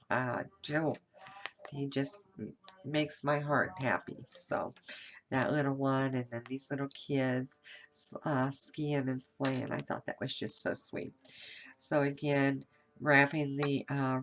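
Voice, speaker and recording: A woman's voice: very deep voice, a little hiss or hum, low-quality recording. A nasal woman from Kentucky with a head cold, chatting casually through a craft tutorial with lots of fillers and restarts. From Kentucky, USA. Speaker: craft video host.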